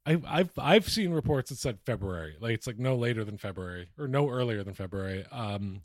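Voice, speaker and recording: A man's voice: lowish voice, animated, fairly quick, no echo, studio quality. An American man co-hosting a podcast, chatting casually about sports in an informal, sweary way. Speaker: podcast co-host. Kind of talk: casual banter and sports chat.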